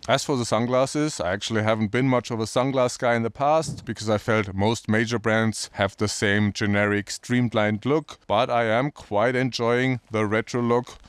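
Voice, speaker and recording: A man's voice: lowish voice, fairly even intonation, normal speed, few pauses, clear, resonant tone, no echo. A man in his thirties presenting casually to camera on snowy mountain slopes, upbeat and chatty. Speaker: ski vlogger.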